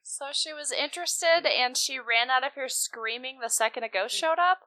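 A woman's voice: high voice, normal speed, heavy background noise. A woman playing a tabletop game, bantering casually and jokingly in character.

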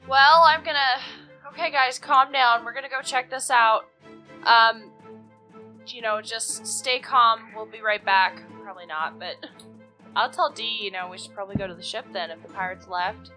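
Woman rpg player, bright higher voice, casual chat, bit of music behind. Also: fairly even intonation, normal speed. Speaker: player in a tabletop game. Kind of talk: casual in-character game chat.

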